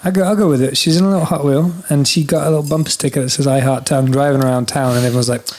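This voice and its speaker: A man from Scotland with an English-sounding British accent, telling animated stories as a podcast guest and swearing freely. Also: mid-range voice, very fast, a little hiss or hum. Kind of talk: casual storytelling.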